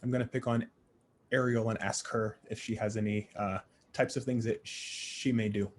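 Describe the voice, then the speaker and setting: A man moderating a panel discussion in a friendly, encouraging and measured way. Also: fairly low pitch, steady tone, slight background noise.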